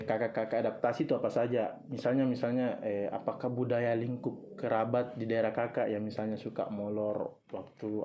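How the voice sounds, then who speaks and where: A man's voice: mid-range voice, warm tone, a little hiss or hum. A young man hosting a student campus radio talk show, chatty, prompting his guests and reading listener questions aloud. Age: young adult.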